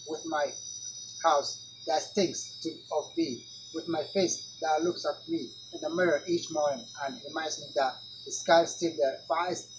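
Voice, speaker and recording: A man's voice: fairly high pitch, background noise, close to an everyday voice. A man gives voice to a wounded teenager who lashes out in bitter, accusing words. The voice sits a little above the usual range. Faint crickets sound behind him. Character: angry hurt teen, bitter about friend's suicide. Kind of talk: dramatic monologue reading.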